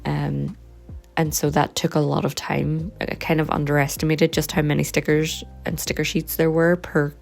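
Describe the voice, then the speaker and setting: A woman chatting casually in a vlog, her voice slightly hoarse and croaky, rambling in a relaxed way with frequent ums. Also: deep voice, normal speed, faint music behind. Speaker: vlogger and sticker and journal maker.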